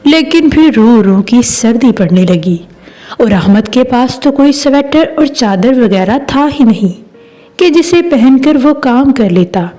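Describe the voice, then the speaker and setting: A woman's voice: high-pitched, expressive, unhurried, mellow, low-quality recording. A woman narrating a scripted story as a storyteller. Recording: music behind the voice. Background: background music.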